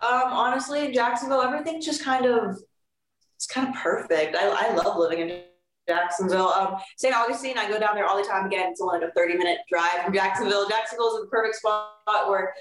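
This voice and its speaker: A woman's voice: mid-range voice, quick pace, soft background music. Chatty teenage girl in an echoey room. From Florida. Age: teens. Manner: chatty, upbeat, uses fillers like "like" and "you know". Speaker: guest singer. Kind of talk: casual interview chat.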